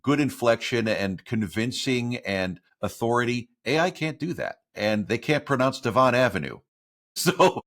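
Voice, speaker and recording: A man's voice: medium pitch, expressive, unhurried, a bit bright. A middle-aged man, a voiceover artist, talking earnestly about his work as an interview guest. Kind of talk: casual interview talk.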